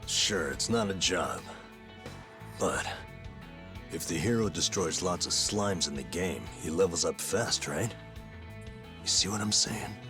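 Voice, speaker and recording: An actor playing a hearty, encouraging middle-aged sidekick in a video game drama, casual and animated. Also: male voice, low-pitched, a little slow, long pauses, music in the background. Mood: persuasive, cheerful. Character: hearty, encouraging buddy of the hero.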